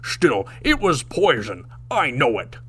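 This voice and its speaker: Forceful, menacing alien warlord. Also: male voice, medium pitch, huge pitch swings, slow, slight background noise, full character voice. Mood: accusing. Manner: pompous, menacing, declamatory. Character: blustering, threatening Klingon warship captain, an alien warrior. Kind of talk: sci-fi radio drama acting.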